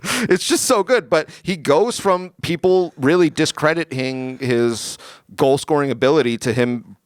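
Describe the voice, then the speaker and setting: Chatty man, smooth voice. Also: fairly high pitch, measured pace, dry recording, studio quality. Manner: enthusiastic, chatty with fillers. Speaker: podcast co-host. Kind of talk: casual sports chat.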